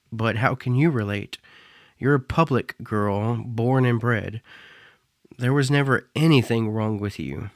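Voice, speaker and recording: Male actor as a bitter young cyborg performer, mid-pitched, dry studio sound. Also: unhurried, dry recording, natural voice. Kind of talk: audio drama acting.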